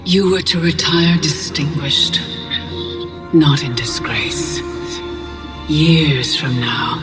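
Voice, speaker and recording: Game mystic: solemn otherworldly tempter, fairly high, slow formal delivery. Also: male voice, loud music behind the voice. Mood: solemn persuasion. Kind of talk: video game cinematic acting.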